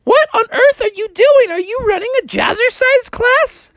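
A bubbly mother frog with a very high, chirpy voice, eager to join any fun that is going on, as a storyteller puts her on. She is a bedtime-story mom, full of enthusiasm. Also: animated, moderate pace, a bit bright, no room sound, full character voice. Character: cheerful mother frog, keen on jazzercise.

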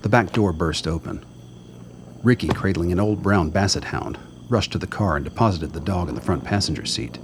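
A man's voice: deep voice, moderate pace, noisy background. A man reading scripted narration for a radio drama.

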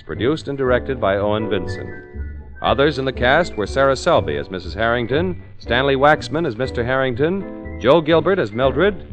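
A man's voice: medium pitch, rough recording. A man announcing in 1940s radio style, with a polished, folksy sales delivery.

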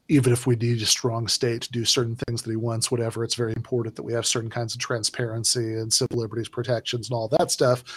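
A man's voice: medium pitch, slightly rough, no room sound. A man in casual political discussion, talking in a rambling way with many fillers and restarts.

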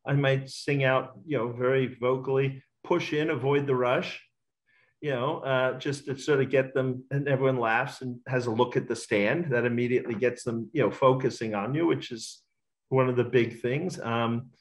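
A man's voice: medium pitch, steady tone, normal speed. Middle aged aussie guy chatting in an interview, confident storyteller, bookie type. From Australia. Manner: talkative, self-assured storyteller. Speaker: racecourse bookmaker. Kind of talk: casual interview answers.

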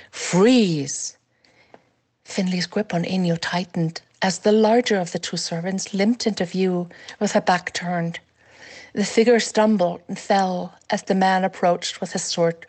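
A woman reading a passage from her novel aloud with measured, expressive storytelling. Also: mid-range voice. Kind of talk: fiction reading.

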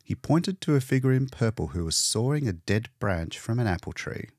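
A man narrating a short story as an audiobook reader, in an expressive scripted style. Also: medium pitch, normal speed, no room sound, clean studio sound.

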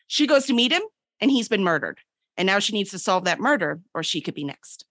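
A woman's voice: medium pitch, very animated, brisk, fairly bright tone, no echo. A woman speaking as a guest author, chatting in a breezy, informal, conversational way. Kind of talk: casual chat, pitching own books.

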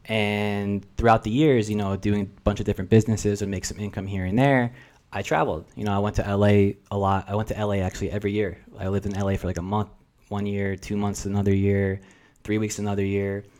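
A young man with a fairly low voice speaks in a relaxed, meandering way. He is a guest on a podcast, full of "like" and "um" as he thinks aloud about life. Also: quick pace, clear, resonant tone. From New Jersey. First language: Russian. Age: 20s. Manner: reflective, rambling, filler-heavy. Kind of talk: casual chat.

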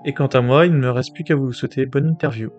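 A man's voice: medium pitch, fairly even intonation, quick pace, a little mellow, lo-fi sound. Male host with faint music behind. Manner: earnest, frequent filler hesitations. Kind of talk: scripted episode introduction.

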